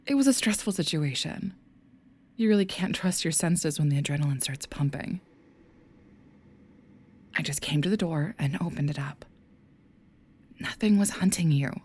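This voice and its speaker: A woman playing a possessed team leader in an audio drama, cool, dismissive and hushed. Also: fairly low pitch, slightly rough.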